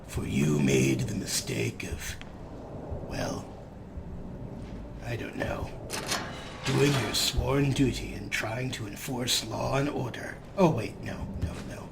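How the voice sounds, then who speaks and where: A man's voice: mid-range voice, expressive, slow, creaky, pauses often. A man giving casual, sarcastic gameplay commentary as a let's play host. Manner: wry, sarcastic. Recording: noisy, game ambience behind voice.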